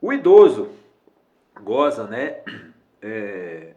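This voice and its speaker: A man's voice: on the low side, sing-song, extremely slow, a little mellow, echoey room, dull audio. A man in his sixties, a lawyer giving a casual video lesson, talking in a rambling way with many fillers and self-corrections. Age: 60s. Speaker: lawyer explaining elderly law.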